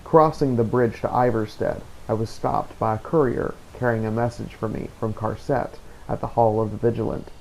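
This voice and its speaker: Male narrator, low register with heavy creak, reading first-person adventure journal entries in a formal, measured storytelling way. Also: steady tone, slight background noise, rough recording. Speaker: host and player of a game playthrough.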